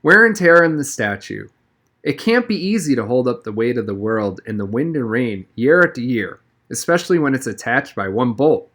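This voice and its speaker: A man's voice: mid-range voice, lively intonation, moderate pace, fairly bright tone. A man reading a storybook as an uneasy, sheepish schoolboy hero who sounds defensive. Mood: evasive.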